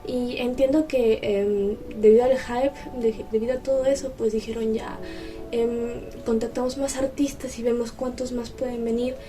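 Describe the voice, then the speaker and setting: A woman's voice: fairly high pitch, steady tone, moderate pace. A woman vlogger chatting casually to camera, explaining things in a conversational way. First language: Spanish. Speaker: vlogger, host. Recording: distant mic with music behind.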